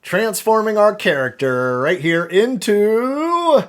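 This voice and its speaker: A man's voice: high voice, sing-song, takes it slowly. A man hosting a casual step-by-step drawing tutorial, talking in a chatty, jokey way as he thinks aloud. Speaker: drawing instructor and host. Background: background music.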